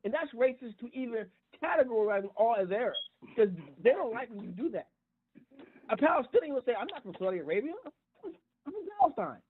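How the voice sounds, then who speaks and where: A man's voice: very high voice, slightly rough. A man on a tinny phone line in an impassioned group discussion, emphatic, repeating and restarting his words. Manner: repetitive, halting restarts, emphatic. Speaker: call-in talk show panelist.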